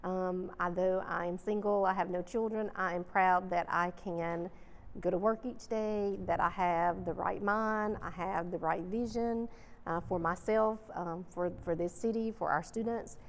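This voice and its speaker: A woman's voice: fairly low pitch, steady tone, measured pace. Woman speaking in an echoey hall. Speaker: interviewee, civic leader. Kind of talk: reflective casual panel answers. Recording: echoey hall with faint noise.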